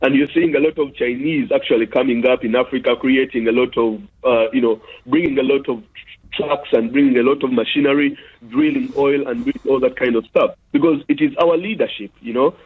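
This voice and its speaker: A man's voice: medium pitch, a little fast, slightly rough. A man originally from Uganda calling in to a radio show, making his points earnestly with many fillers. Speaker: caller. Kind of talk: phone-in call. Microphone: telephone. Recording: tinny phone line.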